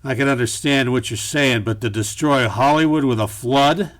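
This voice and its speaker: An actor playing a wisecracking, hard-boiled detective in a comic film noir radio play. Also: male voice, medium pitch, moderate pace, close to an everyday voice. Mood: pondering, conceding. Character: wisecracking male noir detective, sardonic and unflappable.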